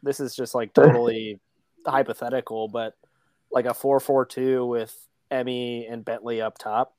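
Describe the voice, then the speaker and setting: A man's voice: medium pitch, little pitch movement, moderate pace, darkish tone. A man chatting casually as a soccer podcast panelist, tentatively thinking aloud through tactical ideas. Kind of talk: casual chat, tactical speculation.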